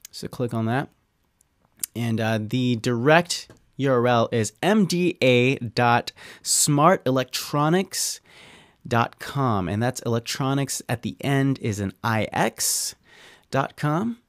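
A man talking through a software tutorial as an audio engineer, in an easygoing, conversational way with informal asides. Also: medium pitch, slow pace, no room sound, studio quality. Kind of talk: casual software tutorial talk.

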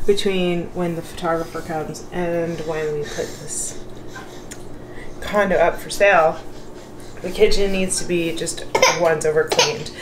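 A woman's voice: echoey room, noisy background. A woman in her thirties from Canada narrating her home cleaning in a casual, chatty vlog, recorded in a small tiled condo. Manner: chatty, talks to her toddler in a high sing-song. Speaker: vlogger.

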